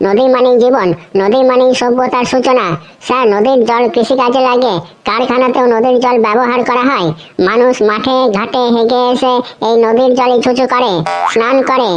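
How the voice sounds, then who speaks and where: A woman's voice: a little high, little pitch movement, moderate pace, muffled recording, lightly acted. A woman voicing a cheeky, bluffing village schoolkid in a comedy cartoon, with slangy teasing speech. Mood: reciting earnestly.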